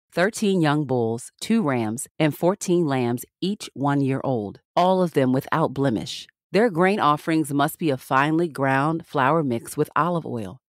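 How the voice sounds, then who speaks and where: A woman reads scripture aloud in a formal, deliberate, measured manner with clear phrasing. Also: deep voice, a little mellow, no echo, studio quality. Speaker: podcast scripture reader.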